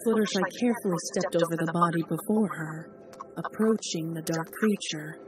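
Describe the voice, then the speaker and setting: A woman narrating a fanfiction story in a measured, dramatic way. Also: fairly low pitch, crowd noise. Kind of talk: audiobook fanfic reading. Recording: distant voice over loud music.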